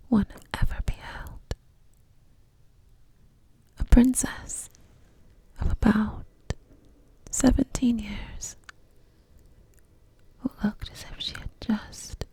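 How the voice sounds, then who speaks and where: A woman tells a bedtime story in ASMR style, whispering slowly and softly. Her voice is very breathy and mellow, and she leaves soothing pauses between short phrases. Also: fairly high pitch, fairly even intonation, no echo.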